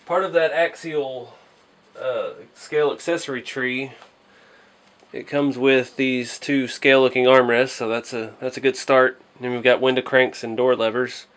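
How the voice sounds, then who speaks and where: A man's voice: mid-range voice, fairly even intonation, measured pace, a bit bright. A man hosting a casual hobby build vlog, speaking in a modest, hesitant way as he thinks aloud. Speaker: hobby channel host.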